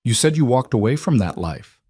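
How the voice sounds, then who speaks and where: A man's voice: medium pitch, very animated, fairly quick, warm tone, breathy edge, no echo. A man plays a pregnant estranged wife in an audiobook. She is weary and wary, challenging one moment and pleading the next, always guarded. The recording is dry. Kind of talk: audiobook character dialogue.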